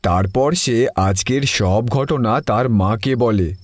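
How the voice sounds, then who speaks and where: A man's voice: medium pitch, takes it slowly, no echo. A man narrating a scripted cartoon story for viewers.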